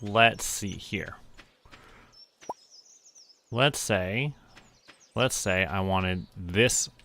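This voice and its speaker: A young man streaming a farming game, relaxed, muttering to himself and counting aloud. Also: deep voice, very slow, slight background noise. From Canada. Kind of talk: relaxed gameplay commentary.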